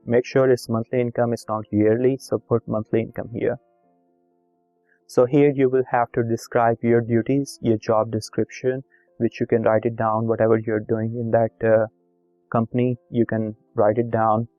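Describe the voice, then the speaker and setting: A man whose first language is Urdu, calmly walking viewers through an online form step by step and reading each field aloud. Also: medium pitch, normal speed, no room sound, lo-fi sound, soft background music. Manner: plain instructive, reads form fields aloud, repetitive. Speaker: video tutorial host. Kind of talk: screen-recorded step-by-step walkthrough.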